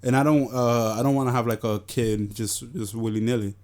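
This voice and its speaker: A man's voice: medium pitch, steady tone, brisk, darkish tone. A man in his twenties with a New York accent, co-hosting a casual podcast chat, joking loosely and swearing freely. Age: 20s. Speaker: podcast co-host.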